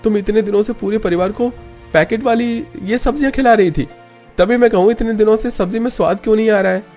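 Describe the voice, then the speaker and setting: A man's voice: sits high, fast, a little mellow, soft background music, pitched above the performer's own voice. A man voicing a young cartoon husband who questions his wife with emphatic concern. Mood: scolding.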